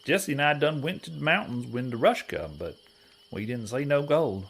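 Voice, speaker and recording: A man's voice: medium pitch, animated, normal speed, husky. A man playing a dim-witted, good-natured cowboy prospector in a western audio comedy, with a rural American drawl and folksy bad grammar. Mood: eager, naive.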